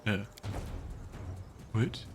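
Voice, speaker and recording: A man hosting a let's play, casually commenting, musing aloud and reading game text. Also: extremely slow. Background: faint game music.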